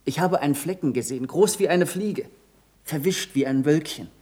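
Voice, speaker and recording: An actor playing an eager, persistent young pupil of a scientist in a German radio play, earnest and precise. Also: male voice, a little high, moderate pace, darkish tone, lightly acted.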